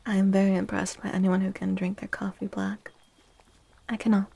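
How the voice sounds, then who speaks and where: A woman livestream host chatting in a soft-spoken ASMR style, often dropping into a whisper, with a gentle, playful, cutesy and affectionate manner. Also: medium pitch, little pitch movement, moderate pace, vocal fry, slightly rough.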